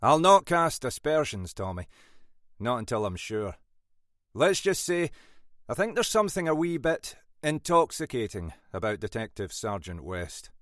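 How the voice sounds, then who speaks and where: Man putting on a gruff, stern Scottish detective boss. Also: mid-range voice, big pitch swings, measured pace, a bit bright, no echo, studio quality, pitched above the performer's own voice. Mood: dry, wry. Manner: scolding, blunt, commanding. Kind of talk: audiobook character dialogue.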